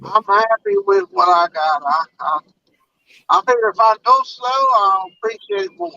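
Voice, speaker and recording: A high, bright man's voice with a Southern US accent and a gravelly edge, swinging widely in pitch as he talks. He is from Puerto Rico and chats casually on a livestream from his truck, laughing and restarting phrases. The sound is dull and lo-fi, close and dry. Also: huge pitch swings, moderate pace, no room sound. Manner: storytelling, laughs often, stammers on restarts. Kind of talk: casual video chat.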